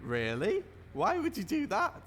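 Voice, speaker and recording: Casting: male performer as oblivious, literal-minded puppet sheriff, high and very lively voice, broad puppet-show comedy. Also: measured pace, slight background noise, heavily acted. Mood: puzzled.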